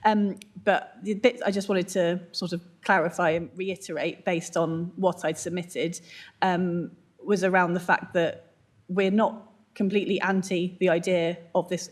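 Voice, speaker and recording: Woman, slightly croaky, flat monotone delivery, measured, many ums, formal hearing statement. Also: on the low side. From England. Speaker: police licensing officer. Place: council meeting, remote or in chamber.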